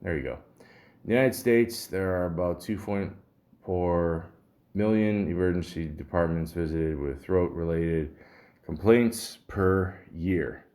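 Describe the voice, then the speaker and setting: A man with a deep, somewhat muffled voice and a sore throat, rambling casually and grumbling with some swearing as a solo podcast host. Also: unhurried, darkish tone. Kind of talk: casual solo podcast rambling, reading symptom lists aloud.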